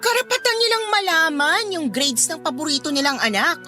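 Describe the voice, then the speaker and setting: Scolding young elder sister for radio drama: high bright voice, insistent, caring underneath. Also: female voice, sing-song, a little fast, faint music behind, heavily acted. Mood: insistent, exasperated. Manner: reproachful, insistent. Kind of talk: Filipino radio drama acting.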